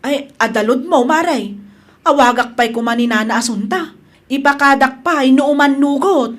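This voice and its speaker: Flustered Ilocano woman, very high voice, plaintive, evasive, radio comedy drama. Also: moderate pace. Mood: evasive, defensive. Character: flustered, evasive woman pleading excuses to friend.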